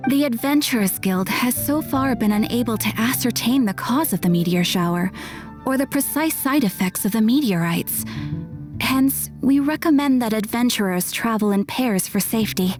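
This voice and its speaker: A woman voicing a composed young female guild receptionist in a video game, polite, measured and formal. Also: a little high, expressive, darkish tone, lightly acted. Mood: calm. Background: game music.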